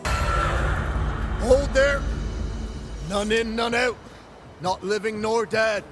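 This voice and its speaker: An actor playing a stubborn, wordy gate guard, chummy yet mock-formal, in a video game. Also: male voice, high voice, drawn-out words, over loud music. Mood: officious, firm. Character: stubborn, wordy, duty-bound gatehouse guard. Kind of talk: dramatic video game acting.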